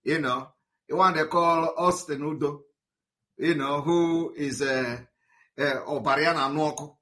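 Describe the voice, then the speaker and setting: A man's voice: fairly high pitch, steady tone, unhurried, a bit bright. A man, addressing his followers in a livestream and switching between English and Igbo. He talks in a combative way and often stumbles over repeated words and fillers.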